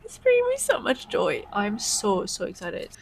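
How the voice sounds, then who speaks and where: A young woman knitting vlogger, congested from a cold, chatting excitedly to camera and sometimes slipping into a soft whisper. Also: mid-range voice, normal speed, vocal fry, slight background noise. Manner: gushing and excitable, often dropping to a soft whisper. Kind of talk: casual vlog chat to camera.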